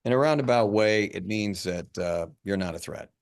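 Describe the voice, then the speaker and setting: Man acting investigator, deep pitch, gravelly fry, matter-of-fact, fussy, halting, film script read. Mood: blunt, dry. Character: blunt, fussy, suspicious male investigator.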